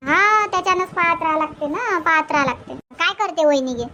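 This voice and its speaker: A woman's voice: very high-pitched, fairly quick, pushed and bright, a little hiss or hum. A woman voicing a young, fretful village housewife in a cartoon, chatty and rustic, with a Varhadi Marathi accent. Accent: Varhadi Marathi, Vidarbha. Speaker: cartoon character voice. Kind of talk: homely cartoon comedy dialogue.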